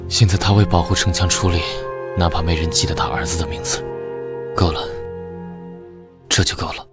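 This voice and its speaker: Man playing world-weary ex-soldier, young, deep voice, terse, commanding, defiant, animated drama, music underneath. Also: moderate pace, lightly acted. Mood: calm, resolute. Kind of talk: dramatic voice acting for animated comic. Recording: music behind the voice.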